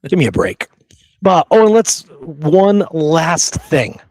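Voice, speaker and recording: A man's voice: on the high side, sing-song, slow pace, a little mellow, no echo. A man hosting a podcast, talking casually about history in an emphatic, opinionated, rambling storytelling way. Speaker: podcast host.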